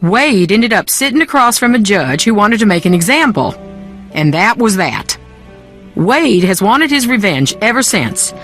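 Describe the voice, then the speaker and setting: A defensive, sharp-tongued young woman in a video game. She speaks dryly and pointedly with wide swings of intonation, while faint music plays behind her. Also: medium pitch, big pitch swings, unhurried. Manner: dry, pointed. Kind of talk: video game character dialogue.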